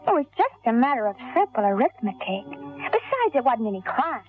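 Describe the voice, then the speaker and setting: An actress playing a cold, scheming, seductive killer in the manner of 1940s radio crime drama. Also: female voice, fairly high pitch, very animated, a little fast. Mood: brooding narration. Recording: tinny old radio broadcast, music behind.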